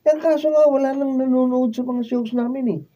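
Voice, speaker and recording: An actor playing a struggling, defensive stage magician in a Filipino radio drama. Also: male voice, very high-pitched, fairly even intonation, brisk, warm tone. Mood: apologetic, pleading. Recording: dull, lo-fi behind-the-scenes studio recording.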